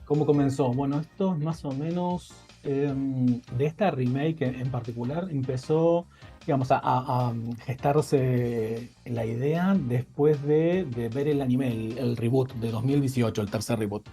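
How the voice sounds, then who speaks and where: Man, Rioplatense accent, middle-aged, relaxed dark tone, rambling, restarts sentences, faint music behind. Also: medium pitch, measured pace. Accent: Rioplatense Spanish. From Argentina. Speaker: guest, indie game developer. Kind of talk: casual interview chat.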